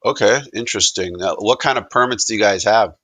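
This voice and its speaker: A man asking casual questions in a halting, informal way, as if thinking aloud. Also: on the low side, a bit bright, no room sound. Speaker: caller asking questions in a product demonstration.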